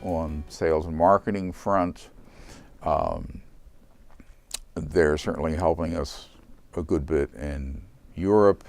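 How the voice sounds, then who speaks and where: Older man with a very low voice. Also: slow, creaky, long pauses, darkish tone, faint music behind. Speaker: company founder. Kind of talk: reflective interview talk.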